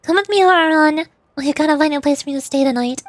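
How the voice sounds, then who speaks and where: A woman voicing a brash, scolding young granny from a video game, brisk, teasing and casual. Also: high-pitched, dry recording, natural voice. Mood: breezy.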